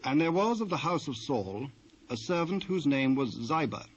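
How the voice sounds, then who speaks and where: A man with a British accent narrating scripture in a formal, measured way. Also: a little high, animated. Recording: dull, faintly noisy old cassette transfer.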